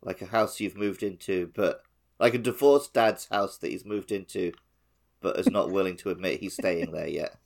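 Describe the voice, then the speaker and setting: A low-pitched man on a gaming podcast who throws in dry, wry jokes in a relaxed conversational way. His voice sits near the bottom of the male range. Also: normal speed, vocal fry. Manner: dry, jokey asides. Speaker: podcast co-host. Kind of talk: casual gaming podcast chat.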